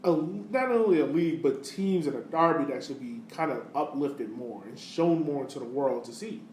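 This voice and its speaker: A man's voice: medium pitch, expressive, moderate pace, a little mellow. A man hosting a soccer podcast in casual conversation, often dropping in "you know" as he talks. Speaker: podcast host. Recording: roomy echo, clear background noise.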